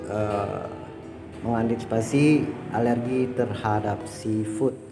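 A man narrating an outdoor vlog in a chatty way, addressing viewers as friends. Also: mid-range voice. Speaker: bushcraft vlogger.

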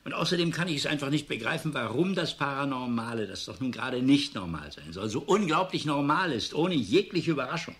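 An actor playing a disillusioned, weary professor in a radio drama, musing and sardonic. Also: male voice, medium pitch, expressive, a bit bright, close to an everyday voice. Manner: musing, sardonic, halting and emphatic by turns. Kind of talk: German radio drama acting.

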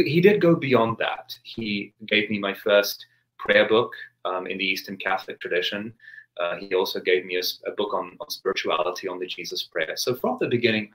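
Guest priest, 30s, from England, low voice, careful and hesitant, video-call sound. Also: male voice, a bit bright, rough recording. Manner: measured, many restarts and hesitations. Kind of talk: thoughtful interview answer. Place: home study with bookshelves, video call.

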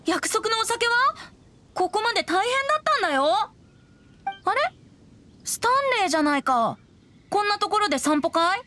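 A woman playing a youthful male wandering bard in a game, breezy and gently teasing. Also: very high voice, expressive, normal speed, long pauses, a little hiss or hum, heavily acted. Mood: pleading, surprised. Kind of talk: Japanese game character acting.

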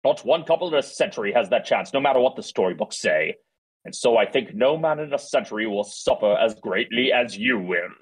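Man acting a haughty prince with a husky edge. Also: mid-range voice, lively intonation. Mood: cold menace. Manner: haughty, formal, deliberate. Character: arrogant, scheming, menacing prince. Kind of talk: table read acting over video call.

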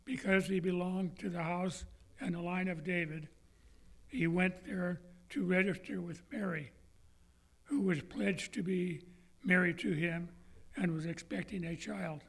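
An older man reads scripture at a church lectern, his voice hoarse from laryngitis and heavily gravelly. He sits fairly high for a man and takes his time, moving through each line slowly and carefully. The microphone is on a stand in front of him. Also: fairly even intonation. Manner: measured, careful reading with throat clearing. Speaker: scripture reader. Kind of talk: reading scripture aloud. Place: church sanctuary, indoors.

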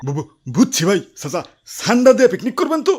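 A woman's voice: on the high side, very animated, fast, very noisy. A woman voicing a nervous, eager villager in a comic cartoon, stammering and repeating words in a Bangladeshi accent. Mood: eager, flustered. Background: background music.

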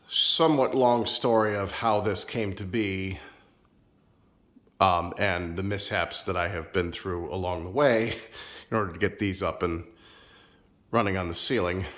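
A man filming a casual home vlog in his sunroom, a little nasal and stuffed up from a sinus infection, talking in a relaxed, rambling, friendly way. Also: deep voice. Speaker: ceiling fan collector vlogger. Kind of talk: casual show-and-tell vlog.

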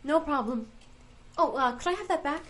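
A woman's voice: sits high, expressive, moderate pace, heavy background noise. A woman playing a chatty, polite afterlife envoy in a comic fantasy audio drama, babbling and easily sidetracked. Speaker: voice actor.

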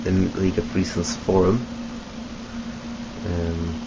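A young man from Sweden with a Swedish accent, introducing himself hesitantly to his webcam in a small room at home, with many pauses and restarts. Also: low voice, completely flat delivery. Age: young adult. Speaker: vlogger. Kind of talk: casual self-introduction to webcam. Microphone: webcam at close range. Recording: dull lo-fi webcam audio with hiss.

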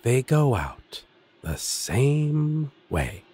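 Husky, flirty demon roommate played by a man. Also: mid-range voice, sing-song, warm tone, faint music behind, exaggerated voice. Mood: musing, relaxed. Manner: teasing, casual, drawn-out delivery with dramatic swoops. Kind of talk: scripted audio roleplay addressed to listener.

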